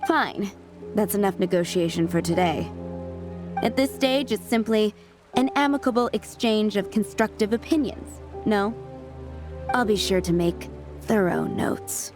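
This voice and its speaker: A woman playing a haughty foreign diplomat in a video game cutscene, with smooth, mocking politeness. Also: medium pitch, expressive, a little slow, over music, lightly acted. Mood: smug. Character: haughty female foreign envoy, condescending. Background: game score.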